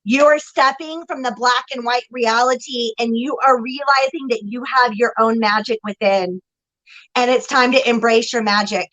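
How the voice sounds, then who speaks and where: A woman's voice: on the high side, steady tone, normal speed, fairly bright tone, rough recording. A woman with a Texan accent giving an effusive live spiritual reading as host. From Texas. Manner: effusive, exclamatory, preachy new-age delivery. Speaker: psychic reader.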